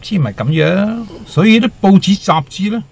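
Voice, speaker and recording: A man's voice: high-pitched, lively intonation, normal speed, vocal fry, husky, no room sound, heavily acted. An actor playing a talkative, timid male waiter with a Hong Kong accent and comic exaggeration in a radio drama. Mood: animated explaining. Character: chatty, timid young male teahouse waiter, eager joker.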